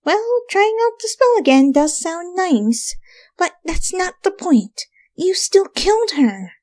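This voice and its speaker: A woman's voice: very high voice, very animated, slow, darkish tone, no echo, voice pushed up, heavily acted. A woman voicing an earnest, bossy young female pony scholar in a story reading, articulate and scolding. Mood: disappointed scolding.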